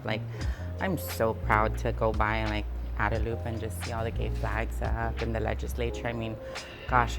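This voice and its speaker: A woman from Guam speaks in a casual, reflective interview style. She is candid and conversational, leaning on key words for emphasis. Music plays clearly behind her voice. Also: a bass voice. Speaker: interviewee, freelance designer and builder. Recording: background music under the voice.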